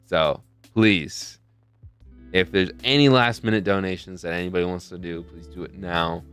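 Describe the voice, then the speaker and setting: A man's voice: low-pitched, fairly even intonation, normal speed, a bit bright, clean tone, soft background music. A young man hosting a charity live stream in a casual, rambling way, often losing his train of thought. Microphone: desk mic close to a face camera.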